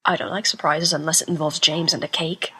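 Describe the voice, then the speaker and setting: Woman playing a husky, theatrical teenage schoolboy. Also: deep voice, fairly even intonation, brisk, sharp tone, full character voice. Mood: mock-hurt. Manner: melodramatic, playful whining. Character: theatrical, attention-seeking seventeen-year-old schoolboy, teasing and flamboyant. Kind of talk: amateur audio drama reading.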